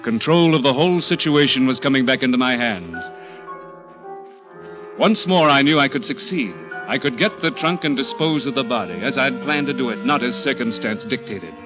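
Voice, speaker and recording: An American man acting as a cunning, controlling young murderer in a radio thriller, with an insinuating, halting, deliberate delivery. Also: mid-range voice, music in the background, lightly acted, low-quality recording. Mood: calculating, confident. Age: young adult. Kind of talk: radio thriller acting with first-person narration.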